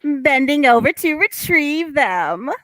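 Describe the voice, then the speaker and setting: A woman's voice: high voice, sing-song, takes it slowly, sharp tone, dry recording, heavily acted. A woman playing a flustered, wry young housewife in a table read of a stage comedy. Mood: coy, wry. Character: flustered young housewife, wary yet tempted, dryly teasing.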